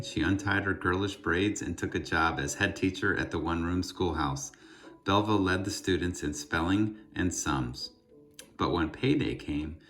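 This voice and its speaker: A man with a low voice reads a children's storybook aloud. His delivery is expressive and deliberate, leaning on key words with short pauses. Faint music plays behind him. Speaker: school principal reading a children's book aloud.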